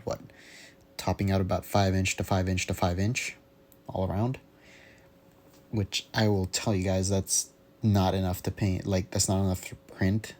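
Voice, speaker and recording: A young man giving a casual, unscripted audio log, rambling haltingly as he searches for words. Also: low voice, a little mellow. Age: young adult. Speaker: hobbyist vlogger.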